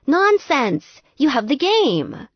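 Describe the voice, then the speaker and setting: A woman narrator voicing a kind but exasperated geeky young woman gamer in an audiobook, placating and brisk. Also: high-pitched, huge pitch swings, no room sound, lo-fi sound, pitched above the performer's own voice, exaggerated voice.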